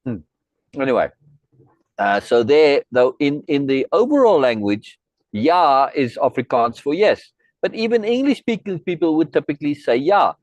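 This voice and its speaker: A man's voice: a little high, big pitch swings, normal speed, darkish tone, dry recording. Chatty middle-aged South African man. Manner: rambling, friendly, many restarts and asides. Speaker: host. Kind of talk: casual chat on a video meeting.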